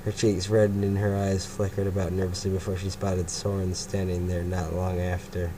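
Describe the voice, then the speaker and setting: A young man with a very low voice reads fanfiction aloud, tripping on words and cursing at his own slips. Steady background noise hangs under the recording. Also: moderate pace. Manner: stumbling, swearing, laughs at own slips. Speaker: fanfiction reader, narrator. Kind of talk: amateur fanfiction reading and banter.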